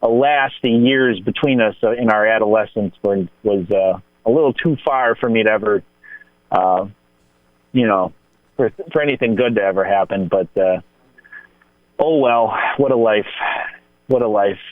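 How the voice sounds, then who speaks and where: A man's voice: on the low side, normal speed, dry recording, phone-quality sound. Rambling American man, dry radio sound. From United States. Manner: rambling, digressive storytelling. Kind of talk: casual reminiscing film talk.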